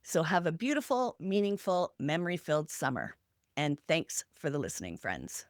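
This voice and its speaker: A woman co-hosting a podcast, speaking conversationally with an earnest, encouraging manner and deliberate phrasing. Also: low voice, very animated, soft background music. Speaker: podcast co-host. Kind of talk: conversational podcast wrap-up, reflective advice.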